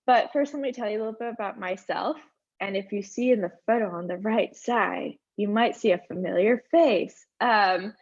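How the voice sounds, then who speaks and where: A woman's voice: medium pitch, dry recording, dull audio. A woman giving an upbeat, chatty webinar talk, her voice a little strained by allergies. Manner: upbeat, conversational, chatty asides. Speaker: conference speaker. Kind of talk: webinar presentation.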